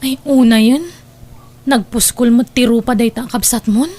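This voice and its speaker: A woman's voice: fairly high pitch, fairly even intonation, normal speed, warm tone, natural voice. A woman playing a caring, inquisitive young confidante in an Ilocano audio drama, exclaiming and questioning, with an Ilocano accent. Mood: surprised, sympathetic.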